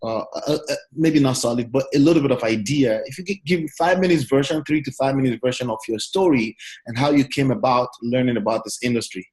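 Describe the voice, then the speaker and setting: A male interview host with a voice in an ordinary middle pitch range. He keeps affirming his guest, and when he asks his questions he speaks haltingly, with many fillers. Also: a little mellow, rough recording.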